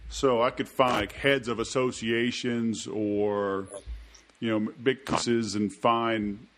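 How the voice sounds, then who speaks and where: A man's voice: mid-range voice, fairly even intonation, slow pace, fairly bright tone. Chatty podcast host, man. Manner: loose, chatty, joking asides. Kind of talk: casual interview chat.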